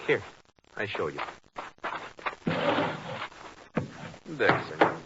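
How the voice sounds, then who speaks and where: An actor playing a courteous, formal official with a Spanish accent and measured speech, in 1950s radio style. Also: male voice, fairly low pitch, big pitch swings. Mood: grave. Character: courteous, formal Latin American male official. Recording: dull, noisy 1950s radio recording.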